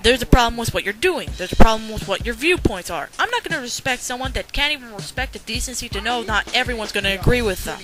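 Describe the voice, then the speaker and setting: A young woman giving blunt, unscripted critical commentary in an animated, ranting way. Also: a little high, fast talker, hardly any pauses. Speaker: commentary video host. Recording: music playing behind the voice.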